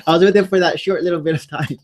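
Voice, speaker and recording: A man's voice: a little high, fairly even intonation, very fast. A man co-hosting a casual live stream, rambling with many ums and restarts, talking into a new microphone. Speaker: co-host. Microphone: new studio microphone.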